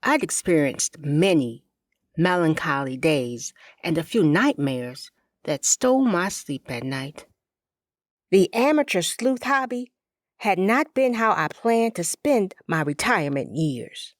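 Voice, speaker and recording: Slow, lively low-voiced woman narrating fiction. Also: no room sound, studio quality. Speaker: audiobook narrator. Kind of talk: first-person scripted fiction narration of a cozy mystery, in character.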